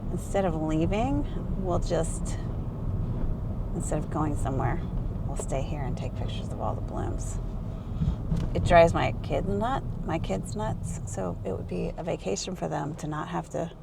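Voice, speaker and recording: A woman's voice: on the low side, unhurried, creaky. A middle-aged woman vlogging casually, rambling and correcting herself with bursts of enthusiasm. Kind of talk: casual chatty vlog. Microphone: small handheld camera mic. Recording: noisy car and street ambience.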